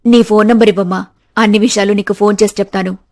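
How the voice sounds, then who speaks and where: A woman voicing a confident, motherly and slightly crafty middle-aged aunt in an animated story. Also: medium pitch, quick pace, dry recording, close to an everyday voice. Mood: motherly, friendly. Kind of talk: animated moral story dialogue.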